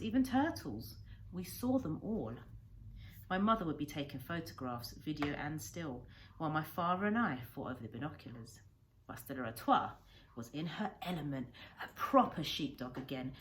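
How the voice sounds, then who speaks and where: A woman reading a children's story aloud like a schoolteacher, with deliberate phrasing and dramatic emphasis. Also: low voice, rough recording.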